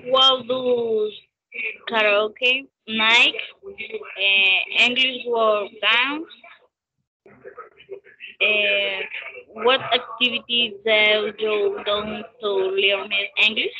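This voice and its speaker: A woman in her twenties with a Latin American Spanish accent, a learner practicing scripted English conversation haltingly on a video call. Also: mid-range voice, fairly even intonation, sharp tone. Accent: Spanish-speaking Latin American. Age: 20s. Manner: halting, careful word-by-word delivery. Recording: dull lo-fi video call audio.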